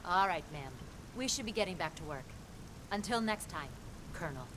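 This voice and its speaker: A loyal female soldier, acted by a woman in a video game, speaking in a lowish voice. She is earnest, respectful and plain-spoken, with clear rumbling noise behind her. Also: expressive, moderate pace, close to an everyday voice. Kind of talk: video game cutscene dialogue. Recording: game audio over rumbling background noise.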